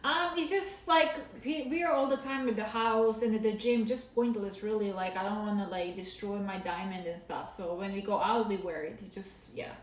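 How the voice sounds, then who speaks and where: A woman's voice: medium pitch, moderate pace, few pauses. Woman from Serbia, co-host, short hesitant answers, distant mic, echoey room. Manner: brief, hesitant replies. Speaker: co-host, partner. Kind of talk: casual livestream chat.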